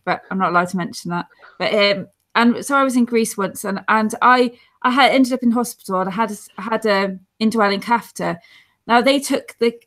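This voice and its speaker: A woman's voice: fairly high pitch, a bit bright. A woman, chatting earnestly on a video call from home, rambling and restarting as she tells stories. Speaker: guest on support show. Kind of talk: casual video-call chat. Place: dim room at home. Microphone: earphone headset on video call.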